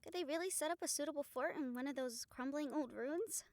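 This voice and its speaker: A woman playing a fiery, loyal young fighter in an audio roleplay, informal and excitable in manner. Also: high-pitched, brisk, dry recording, close to an everyday voice. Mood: settling, doubtful. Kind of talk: dramatic audio roleplay acting.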